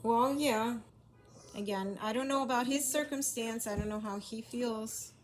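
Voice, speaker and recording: A woman's voice: on the high side, unhurried, a little hiss or hum. Hesitant, unsure woman. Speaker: mother. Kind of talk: casual conversation.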